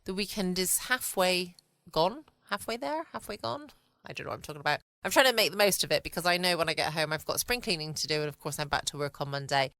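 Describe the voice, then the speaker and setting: A British woman chats casually as a late-night podcast host. Her fairly low voice is bright and crisp-edged, recorded very dry, as she muses and questions herself. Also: animated, quick pace, hardly any pauses, no room sound.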